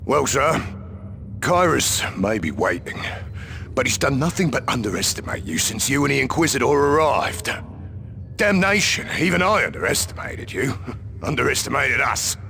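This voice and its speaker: An actor playing a gruff, hot-tempered veteran sergeant major in a video game cutscene, blunt and bellowing. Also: male voice, medium pitch, very animated, moderate pace, pushed and bright, a little hiss or hum, heavily acted. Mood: wry. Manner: bellowing, blunt, then wry. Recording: game cutscene with music behind.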